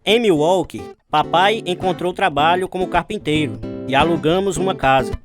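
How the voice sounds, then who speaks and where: Male actor as earnest storyteller of his youth, higher pitch, scripted radio narration, clear music bed. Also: moderate pace, natural voice. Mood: reflective. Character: Breno, earnest man retelling his own childhood. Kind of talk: first-person dramatized narration.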